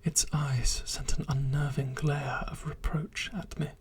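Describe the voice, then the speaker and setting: A narrator reading a dark story in a soft, steady whisper. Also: mid-range voice, unhurried. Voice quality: very breathy.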